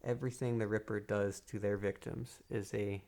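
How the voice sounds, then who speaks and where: A man playing a calm, manipulative psychiatrist in a soft-spoken ASMR roleplay, measured and hypnotic, pausing between phrases. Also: on the low side, close to an everyday voice. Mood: sinister, measured. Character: calm, manipulative male psychiatrist interviewing a killer patient.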